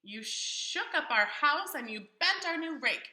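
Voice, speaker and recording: A woman's voice: high voice, expressive, a little slow, bright, forceful tone, voice pushed up, heavily acted. A woman voicing an indignant, fussy pet fish in a storybook, scolding in an emphatic, bossy way. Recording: roomy echo.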